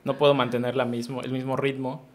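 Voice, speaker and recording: Fast-talking friendly interview host. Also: male voice, mid-range voice, level tone, slight background noise. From Yucatán, Mexico. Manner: friendly, chatty, tags remarks with "¿no?". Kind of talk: casual interview chat.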